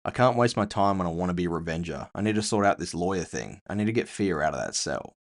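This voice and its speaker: A man's voice: low voice, expressive, fast. A man playing a weary, dry-witted investigator in a cyberpunk audio story, with a sardonic manner. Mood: weary, resolved. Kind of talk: first-person audio fiction dialogue.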